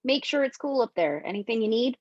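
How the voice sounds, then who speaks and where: A woman reading the part of a brisk, businesslike crime-movie crew member talking on a phone. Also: fairly high pitch, lively intonation, dry recording. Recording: dull, close, lo-fi microphone.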